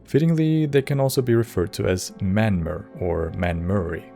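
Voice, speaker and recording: Low-voiced man narrating a lore video. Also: normal speed, gravelly, warm tone. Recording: music bed under narration. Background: background music.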